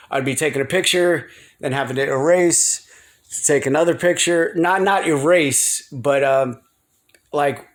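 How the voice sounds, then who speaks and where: Halting American man with a higher-pitched voice. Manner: halting, repetitive, trailing off and circling back. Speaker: video blogger. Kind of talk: casual rambling vlog.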